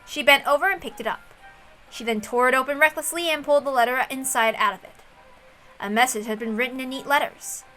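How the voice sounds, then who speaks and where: Female storyteller, high-pitched and bright, expressive scripted narration over light music. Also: brisk, low-quality recording. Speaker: visual novel reader and narrator. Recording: music under the voice.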